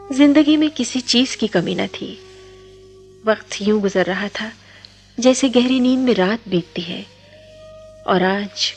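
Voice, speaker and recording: An actress playing a gentle young college woman in a radio play, measured and softly spoken. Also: medium pitch. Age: young adult. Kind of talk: radio play acting with first-person narration.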